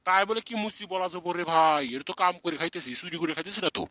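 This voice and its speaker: A man's voice: sits high, fast, bright, forceful tone, no echo. A man voicing a proud old village uncle in a comic cartoon, with a simple, plaintive way of talking. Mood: defensive. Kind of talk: comic Bangla cartoon dialogue.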